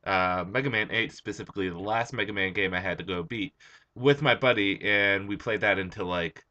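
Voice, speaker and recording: A young man with a hoarse, tired voice, just out of bed, rambling through a casual comic book review. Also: deep voice, a little fast, bright, forceful tone, lo-fi sound. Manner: rambling, chatty, with frequent "uh" and restarts.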